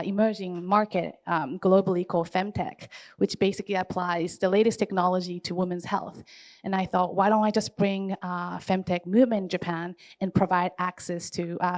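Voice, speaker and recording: A woman in her forties who grew up in East Africa, introducing herself on a panel at an even pace, with thoughtful pauses and fillers. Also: mid-range voice. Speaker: panelist, startup founder.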